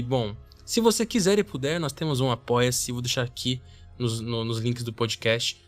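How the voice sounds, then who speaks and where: A Brazilian man speaks as a podcast host in a casual, conversational way. His voice sits at a medium pitch, with some music playing underneath. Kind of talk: casual chatty podcast introduction.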